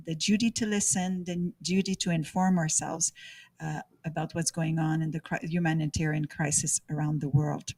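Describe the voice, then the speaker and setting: A woman moderating a panel discussion, speaking haltingly with many restarts and fillers. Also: medium pitch, fairly bright tone.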